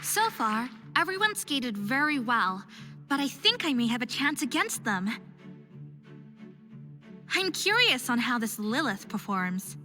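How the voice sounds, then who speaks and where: High-pitched young skater girl, swooping expressive delivery, anxious, determined, self-doubting, game character, music behind. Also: unhurried, some chatter behind, heavily acted. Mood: amazed, indignant. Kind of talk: visual novel game voice acting.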